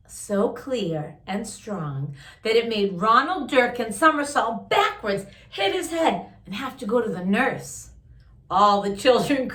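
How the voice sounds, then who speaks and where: A woman reading a picture book aloud to young listeners, with expressive drawn-out emphasis and chatty asides. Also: a little high, echoey room. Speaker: children's story-time host reading a picture book aloud.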